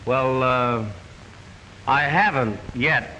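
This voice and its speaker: An actor playing a brash, wisecracking, exasperated police detective in the style of an old mystery film. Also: male voice, medium pitch, extremely slow, pushed and bright. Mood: brash, defensive. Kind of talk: 1930s-40s mystery film acting. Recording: noisy vintage mystery film soundtrack.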